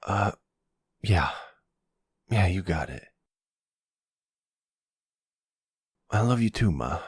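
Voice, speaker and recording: A man's voice: low voice, expressive, measured pace, slightly rough, no echo, studio quality, full character voice. A man voicing a nervous, naive young man, a grown son who talks in a hesitant, pleading, deferential way in an ASMR roleplay drama. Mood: subdued.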